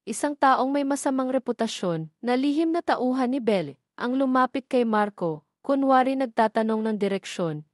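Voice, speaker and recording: A woman's voice: fairly high pitch, darkish tone, dry recording, clean studio sound. A woman delivering scripted narration for an audio drama story.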